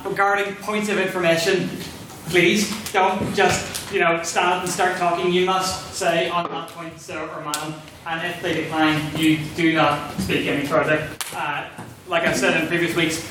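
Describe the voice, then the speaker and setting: A high-pitched man reminding a debating audience of the rules, polite and hesitant, filling pauses often. He sounds distant, and the hall around him rings with echo. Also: flat delivery. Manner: hesitant, polite, many fillers. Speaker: society president chairing debate. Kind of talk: procedural announcements. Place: large echoey debating hall. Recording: echoey hall, distant mic, noisy.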